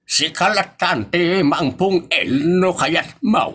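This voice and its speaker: A man's voice: sits high, slow pace, a bit bright, slightly rough, exaggerated voice. A man playing a stubborn, bossy character in an Ilocano comedy drama, with an Ilocano accent and emphatic delivery.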